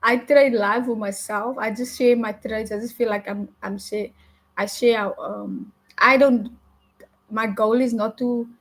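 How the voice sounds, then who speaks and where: A young Afrikaans-speaking woman talks her way through a live trading session in loose, unscripted chat. Her voice sits a little high. She often stops mid-thought and starts again. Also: fairly even intonation, poor audio. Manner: halting, many restarts and self-corrections. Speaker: live forex trader. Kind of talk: casual live-stream chat while trading.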